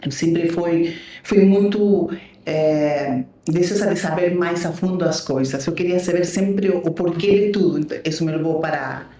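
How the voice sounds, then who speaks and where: A woman's voice: lowish voice, moderate pace, very echoey, distant mic. A woman from Bolivia who speaks Portuguese with a Spanish accent, telling her story as a podcast guest with many "né" and "eh" fillers. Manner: warm storytelling, frequent "né" and "eh" fillers. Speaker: guest, leadership mentor. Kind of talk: podcast interview.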